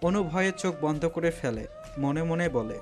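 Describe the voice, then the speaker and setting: A man's voice: a little high, lively intonation, moderate pace, soft background music. A man narrating a scripted romantic story in a dramatic storytelling style.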